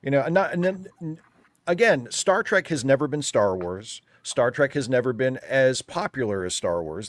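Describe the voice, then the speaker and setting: A man's voice: mid-range voice, dry recording. A man giving his opinions in casual panel chat as a guest, building his argument emphatically. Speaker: returning guest commentator on a live show. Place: remote video call.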